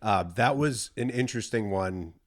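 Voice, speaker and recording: A man's voice: fairly low pitch, moderate pace, no echo, clean studio sound. A man chatting casually as a podcast guest and musician, telling things in an easygoing, matter-of-fact way. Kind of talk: casual chat.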